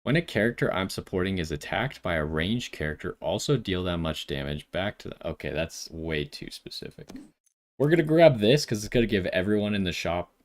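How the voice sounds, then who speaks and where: Male gaming video host, lower register, casual play-by-play, reasons through decisions aloud. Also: lively intonation, brisk. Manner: thinks aloud while deciding. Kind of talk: casual gameplay commentary.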